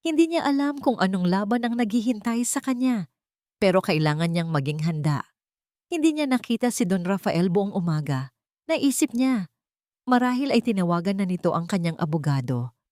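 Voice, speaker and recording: A woman's voice: fairly low pitch, a little mellow, dry recording, clean studio sound. A woman narrating a scripted romantic drama in an emotive storytelling manner.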